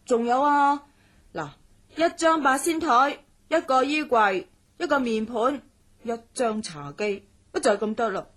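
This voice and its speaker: A woman playing an outspoken, loyal young student in a radio drama, expressive and exclamatory. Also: on the high side, a little slow. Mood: indignant.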